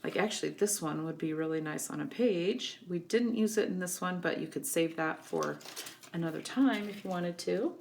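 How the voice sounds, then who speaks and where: A woman's voice: lowish voice, normal speed, creaky. Scratchy-voiced woman teaching a craft. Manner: relaxed, chatty, many ums. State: getting over a cold, scratchy voice. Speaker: craft instructor. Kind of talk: scrapbooking tutorial.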